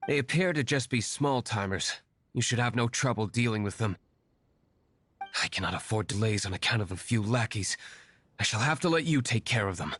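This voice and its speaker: A man's voice: fairly low pitch, lively intonation, normal speed, fairly bright tone, a little hiss or hum. An aloof, terse young nobleman. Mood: curt. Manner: terse, formal, cool. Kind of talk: game voice acting.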